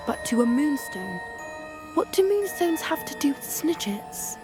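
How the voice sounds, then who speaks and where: A woman voicing an earnest, anxious teenage witch in a video game, polite and a little hesitant. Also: high-pitched. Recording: music behind the voice. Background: music.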